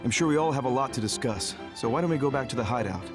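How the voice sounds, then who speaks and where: A composed, serious male major general, voiced by an actor for an anime game. He speaks in a measured, understated manner at a middle pitch, over clear background music. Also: lively intonation, natural voice. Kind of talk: English anime game voice acting.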